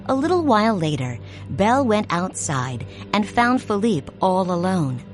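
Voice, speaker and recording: A woman reads a fairy tale aloud very slowly, her fairly low voice rising and falling expressively. She speaks clearly and carefully, with music playing clearly underneath. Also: very animated. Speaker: storybook narrator. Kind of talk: slow read-along storytelling.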